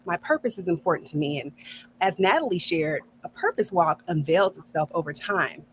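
A middle-aged woman hosts a podcast over a video call, affirming her guest and laughing often. Her voice sits somewhat low. A faint hum of room ambience runs underneath. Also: animated, moderate pace, poor audio. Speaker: podcast host, neuro coach. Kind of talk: casual podcast conversation. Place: home office.

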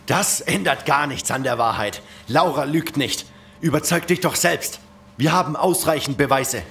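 A man's voice: a little high, moderate pace, vocal fry, a bit bright, a little hiss or hum. An actor playing an earnest, anxious male captive in a German audio drama.

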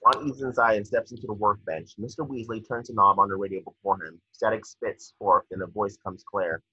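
Low, raspy man narrating a screenplay. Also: steady tone, a little fast, bright, forceful tone. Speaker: stage directions reader. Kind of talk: scripted narration of screenplay directions. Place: indoor room at home, on a video call. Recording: dull, dry video-call audio.

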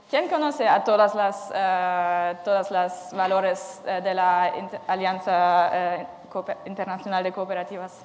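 A young woman giving a conference talk for a cooperative federation, pausing often as she searches for words in a second language. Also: lowish voice, darkish tone. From lives in Brussels. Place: large hall with audience. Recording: reverberant hall with faint noise.

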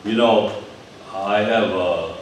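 An older man with a Michigan accent preaching a sermon at a lectern, speaking haltingly with many fillers and repeated words. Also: lowish voice, a little hiss or hum. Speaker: pastor. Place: church hall with stage and screen. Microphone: lectern mic from a distance. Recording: echoey hall, distant mic.

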